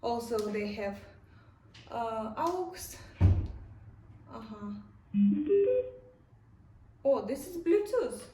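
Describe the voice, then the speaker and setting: A non-native English-speaking woman giving a casual unboxing and product review in short, halting phrases. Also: fairly high pitch, animated, darkish tone. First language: not English. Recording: echoey room, distant mic, faint noise.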